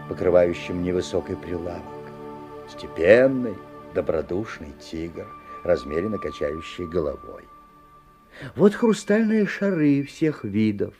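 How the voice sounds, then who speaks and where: An actor playing a genial father who narrates a story in a 1970s radio play, theatrical, with deliberate pauses. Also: deep voice, darkish tone. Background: faint music.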